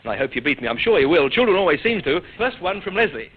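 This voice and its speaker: A man's voice: on the high side, sing-song, quick pace, breathy edge. A man hosting an old-time radio riddle show for children in front of an audience. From Australia. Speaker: radio quiz show host. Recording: noisy, dull old radio recording with audience. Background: studio audience, heavy noise.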